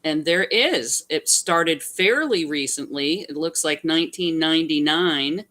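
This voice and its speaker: Woman with a pretty low voice, casual chat, helping out as cohost. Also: expressive, measured pace, a bit bright. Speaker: co-host assistant.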